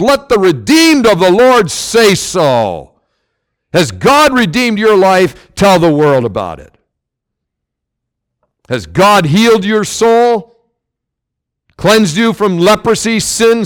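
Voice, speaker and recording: Loud, high-pitched animated male preacher. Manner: loud, emphatic preaching with sudden swells and drops. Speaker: pastor. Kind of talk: sermon.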